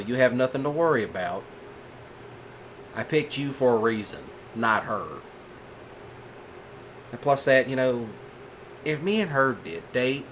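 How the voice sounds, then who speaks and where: A man doing a gentle rancher boyfriend. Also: mid-range voice, a little hiss or hum. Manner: soft-spoken, patient, folksy. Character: calm reassuring male rancher boyfriend, gentle. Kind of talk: ASMR roleplay.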